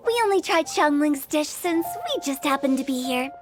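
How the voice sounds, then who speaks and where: A woman's voice: sits high, animated, normal speed. A woman voicing a mischievous young funeral director who teases and jokes in a theatrical way. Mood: teasing. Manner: teasing, theatrical, fond of wordplay. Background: background music.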